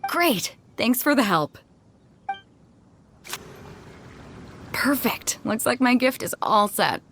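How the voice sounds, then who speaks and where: A woman's voice: on the high side, big pitch swings, measured pace, fairly bright tone, a little hiss or hum, exaggerated voice. An actress playing a breezy, self-assured female sea captain with a casual, easygoing manner in a video game cutscene. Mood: upbeat, breezy. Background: background music.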